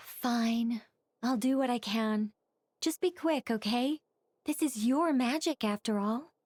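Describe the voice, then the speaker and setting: A woman voicing a cautious, dutiful adult female angel who speaks in a measured and wry way in video game dialogue. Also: fairly high pitch, darkish tone, no room sound. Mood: reluctant.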